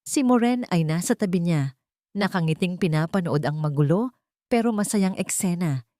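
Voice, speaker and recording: A woman narrates a scripted drama as an audiobook reader. Her pitch is a little lower than most women's. The recording is dry, with very little room sound. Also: lively intonation, moderate pace, warm tone, no echo.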